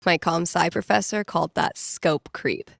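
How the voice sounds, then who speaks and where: Nerdy young woman from a video game, wry n deadpan, lots of vocal fry, super dry sound. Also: fairly low pitch, moderate pace, no echo. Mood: reflective, casual. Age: young adult. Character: sardonic young woman, geeky record store clerk and radio host. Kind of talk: naturalistic video game voice acting, muttered inner monologue.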